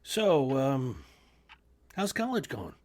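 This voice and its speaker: A gentle, good-natured uncle in his sixties, played by a man, talking in halting, rambling bits full of fillers. His pitch rises and falls a lot. It is a radio play reading. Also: very animated. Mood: fond.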